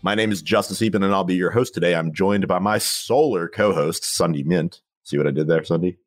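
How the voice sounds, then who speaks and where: Deep voiced guy hosting a podcast, jokey, laughs a lot, super casual. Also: fairly quick. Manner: playful and jokey, laughs easily. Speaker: podcast host.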